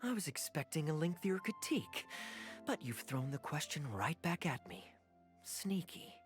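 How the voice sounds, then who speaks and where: An actor playing a suave young male adventurer in a video game, with a smooth, teasing, theatrical delivery. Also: on the high side, sing-song, moderate pace, faint music behind. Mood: wry, musing.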